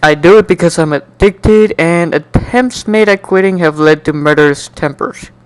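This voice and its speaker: A man doing an amateur dramatic reading of a first-person story in an animated, jokey way, now and then stumbling and repeating words. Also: on the high side, moderate pace, smooth, dry recording. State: coughing, slightly sick. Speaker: amateur fan fiction reader.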